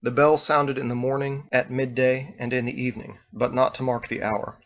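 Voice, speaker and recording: A man's voice: medium pitch, little pitch movement, moderate pace, fairly bright tone, muffled recording. A man reading his own story as a scripted audiobook narration.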